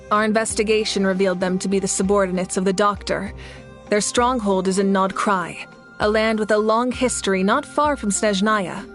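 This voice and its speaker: An actress playing a noble woman leader in a video game, speaking in a measured, formal, assured way. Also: medium pitch, close to an everyday voice. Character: noble warrior woman leader, composed, dutiful, gracious. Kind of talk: video game character dialogue. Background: background music.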